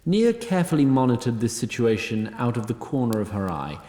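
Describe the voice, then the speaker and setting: A man's voice: mid-range voice, very animated, brisk, mellow, roomy sound. A man narrating a scripted fantasy tale in a theatrical, literary and wry manner.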